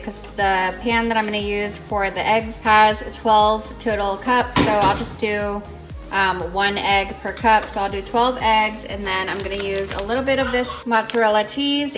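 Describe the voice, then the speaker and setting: A young woman talks you through her cooking in a home kitchen. She is casual and chatty, fills her pauses with ums, and often restarts her sentences. Her voice sits in a middle pitch, and it is picked up by the camera mic. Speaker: cooking vlogger.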